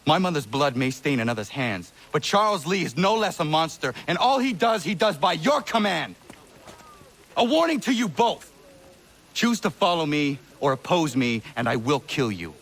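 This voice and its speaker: An actor playing a grim, resolute young assassin in a game, speaking sternly and intensely. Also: male voice, on the high side, big pitch swings, normal speed, fairly bright tone, full character voice. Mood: accusing. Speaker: game character.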